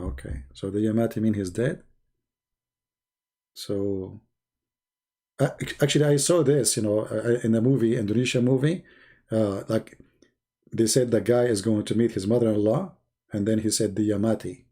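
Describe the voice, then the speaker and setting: Male livestream host, gravelly and mellow-toned, rambling mocking banter. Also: lowish voice, fairly even intonation, normal speed, long pauses. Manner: mocking, rambling, joking asides. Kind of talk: casual livestream chat.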